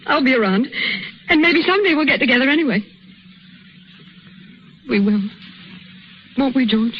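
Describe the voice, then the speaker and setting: A woman's voice: high-pitched, expressive, moderate pace. An actress playing a devoted young woman in love, tender and pleading, in theatrical 1930s radio drama style. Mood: sad, brave. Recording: muffled, noisy 1930s radio recording.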